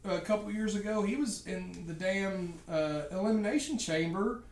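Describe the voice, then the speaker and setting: A man in casual wrestling chat, voice pitched a little high, who hedges and restarts his sentences and fills pauses with "uh" and "um". He sits away from the mic in an echoey room. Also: recorded from a distance. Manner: hesitant, many fillers and restarts. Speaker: podcast co-host.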